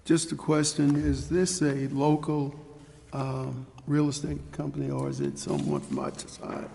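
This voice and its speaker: Very gravelly man at a council meeting. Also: mid-range voice, animated, a little slow, mellow. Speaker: council member. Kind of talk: meeting motions and questions. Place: indoor council chamber. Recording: reverberant council chamber.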